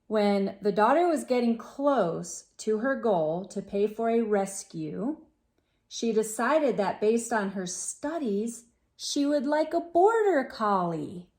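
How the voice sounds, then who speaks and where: A woman reading a storybook aloud to children, talking to them directly in an expressive, exclaiming manner. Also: on the high side, slow. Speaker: children's read-aloud host.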